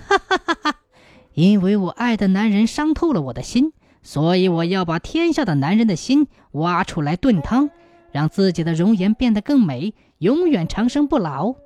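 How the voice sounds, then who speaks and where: A woman's voice: medium pitch, very animated, normal speed, dark tone, no room sound, lightly acted. A woman storyteller voicing a vengeful, man-hating old nun with an icy, menacing manner. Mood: bitter, gloating.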